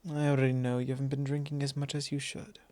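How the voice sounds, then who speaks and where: A man voicing a suave, possessive vampire lord in an intimate ASMR roleplay, measured, courtly and teasing. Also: mid-range voice, flat delivery, dark tone, no room sound, close to an everyday voice. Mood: gently chiding.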